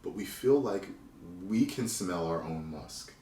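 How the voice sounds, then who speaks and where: A man's voice: low voice, lively intonation, measured pace, dark tone. A man hosting a loose, casual chat, explaining things at length and swearing now and then. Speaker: channel host. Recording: roomy and distant with faint noise.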